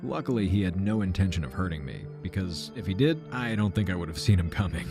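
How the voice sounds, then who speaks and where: Fiction podcast narrator: weary, regretful older man, deep voice, measured dramatic phrasing, clear music bed. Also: expressive. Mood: wry, weary. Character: weary, regretful aging man recounting his troubled past.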